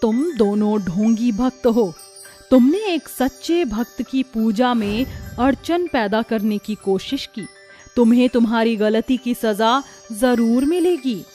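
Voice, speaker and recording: Woman voicing a high, solemn cartoon mother goddess. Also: slow pace, dark tone, soft background music. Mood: stern judgment. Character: majestic mother goddess, stern yet benevolent. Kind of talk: Hindi cartoon voice acting.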